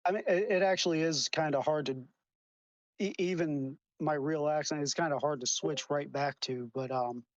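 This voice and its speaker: A man's voice: fairly high pitch, moderate pace, no room sound, lo-fi sound. A man in an online voice chat argument, stammering and restarting as he defends himself. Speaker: debate participant defending himself.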